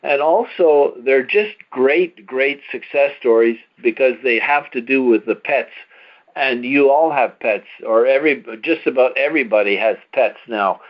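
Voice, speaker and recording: A man's voice: mid-range voice, measured pace. A man hosting a conference call, giving an informal, explanatory and persuasive sales talk to his listeners. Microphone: conference call line. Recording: dull, lo-fi conference call audio.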